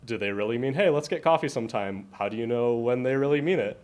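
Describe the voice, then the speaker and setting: A man's voice: medium pitch, quick pace, a little mellow. A man giving an informal presentation to an audience, explaining things in a conversational way as presenter and moderator.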